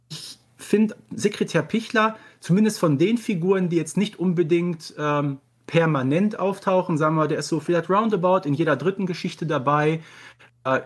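A man's voice: fairly high pitch, husky. A man talking casually and enthusiastically as a guest on a podcast, giving his opinions freely.

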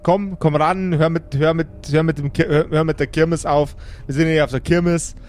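A man voicing a young, lively, impatient necromancer boss in a tabletop game, talking in brusque, repetitive colloquial phrases. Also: a little high, normal speed, faint music behind, close to an everyday voice.

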